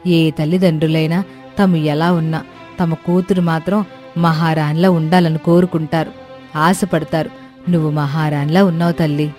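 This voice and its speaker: A woman playing a gentle middle-aged village mother in a cartoon moral story, speaking in a tender, soothing way. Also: deep voice, little pitch movement, normal speed, soft background music, close to an everyday voice. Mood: tender, consoling.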